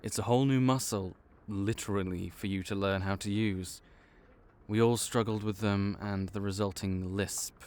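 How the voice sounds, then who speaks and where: A man's voice: lowish voice, fairly even intonation, moderate pace, a little mellow, natural voice. A man voicing a male vampire intake officer in an audio roleplay, matter-of-fact and wry as he walks through the paperwork.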